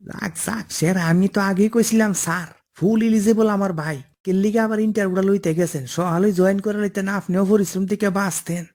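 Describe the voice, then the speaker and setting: A man's voice: sits high, fairly even intonation, quick pace, hardly any pauses, darkish tone. A man voicing a cocky, pushy office underling in a comic cartoon, wheedling and overconfident, with an eastern Bengali dialect.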